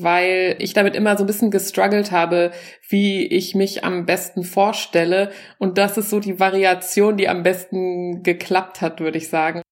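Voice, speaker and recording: A woman in her forties, a stand-up comedian, talking loosely and casually about her work and mixing in English words. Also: medium pitch. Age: 40s. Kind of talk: casual interview talk.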